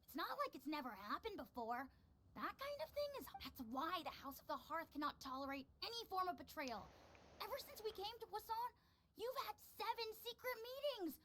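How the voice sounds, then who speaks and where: A woman's voice: very high voice, sing-song, fairly quick, fairly bright tone, slight background noise, exaggerated voice. A woman voicing a loyal young child in a video game who reports on others in a matter-of-fact tone. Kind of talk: video game story dialogue.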